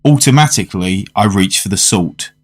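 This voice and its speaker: An actor playing a polite, curious gentleman diner in a radio drama, measured and formal in wording. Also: low-pitched, expressive. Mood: reflective. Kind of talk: radio drama narration and dialogue.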